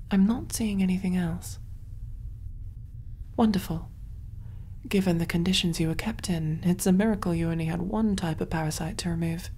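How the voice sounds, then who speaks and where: A woman voicing a kind, calm alien medic in a soft, breathy, reassuring ASMR roleplay style. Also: on the low side, a little hiss or hum, lightly acted. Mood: gentle, reassuring. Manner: gentle, hushed, reassuring, often near whisper.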